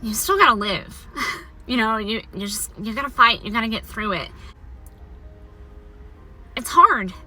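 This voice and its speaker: A young woman speaks candidly and warmly to her viewers, her voice pitched high and very bright because of a prosthetic in her mouth. She moves between reflection and encouragement in an open, personal way. Faint music plays quietly under her voice. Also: lively intonation, unhurried, pauses often. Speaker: vlogger sharing her cancer survival story. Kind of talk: personal talking-to-camera vlog.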